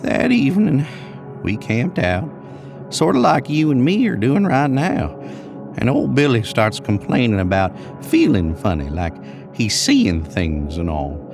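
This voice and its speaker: A man's voice: medium pitch, huge pitch swings, a little slow, gravelly, music in the background, exaggerated voice. A man voicing an older, rambling American cowboy in an audio horror story, with a folksy, drawling manner. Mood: grim, reminiscing. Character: older rambling cowboy spinning a spooky trail tale.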